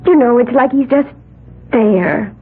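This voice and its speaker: Actress as high-voiced, dreamy young eccentric woman. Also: measured pace, narrow, tinny audio, natural voice. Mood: matter-of-fact, gentle. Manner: earnest, gentle, otherworldly musing. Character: dreamy, eccentric young woman, self-styled priestess of the elements. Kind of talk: old-time radio drama acting. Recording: thin, band-limited old radio recording with hiss.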